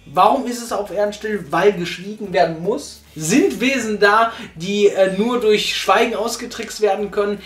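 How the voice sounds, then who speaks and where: A man's voice: high voice, normal speed, few pauses, a bit bright, reverberant, soft background music. A man hosting a casual review chat from a sofa, posing rhetorical questions to viewers. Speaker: host. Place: small living room, on a sofa. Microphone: far camera.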